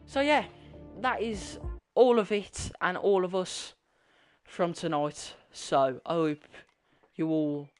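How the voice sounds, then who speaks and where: A man commentating a sim racing league with excitement, his voice strained and fading. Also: slow pace, clear, resonant tone. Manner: excitable, voice climbing high at big moments. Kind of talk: excited live race commentary. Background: music.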